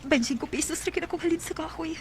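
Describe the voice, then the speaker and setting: A woman's voice: very high voice, fast, a little hiss or hum. A woman playing a poor, overburdened mother fed up with her irresponsible husband, acting in a Filipino radio drama with a Cebuano accent. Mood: worried, tender.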